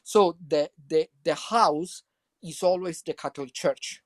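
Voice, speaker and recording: A man from Italy with an Italian accent, speaking English as a second language and answering interview questions haltingly, with many repeats and fillers. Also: on the high side, dry recording, studio quality. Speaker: guest author.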